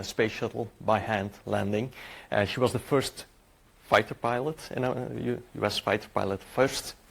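A man's voice: fairly low pitch, creaky. A middle-aged man whose native language is Dutch, speaking English with a Dutch accent as he presents a technical conference talk, hesitant and full of filler sounds and restarts.

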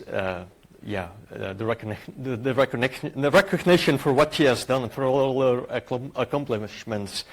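A man with a Dutch accent presents at a conference, his voice rough and gravelly at the edges. He speaks in a hesitant way, with filler sounds and restarts throughout, at an ordinary middle pitch. He is middle-aged and a native Dutch speaker. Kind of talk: presenting a technical conference talk.

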